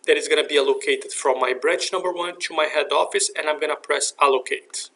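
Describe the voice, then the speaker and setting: A man with a somewhat high voice narrates a software tutorial. He explains each action step by step, in the manner of an instructor walking users through a procedure. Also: fairly even intonation, brisk.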